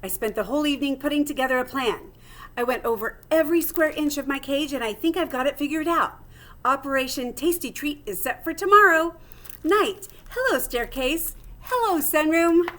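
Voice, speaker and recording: A woman's voice: high-pitched, lively intonation, moderate pace, bright, forceful tone. A woman voicing a small, plucky male hamster who reads his diary aloud theatrically and earnestly, as in a children's storybook. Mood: excited, scheming. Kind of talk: storybook read-aloud in first person.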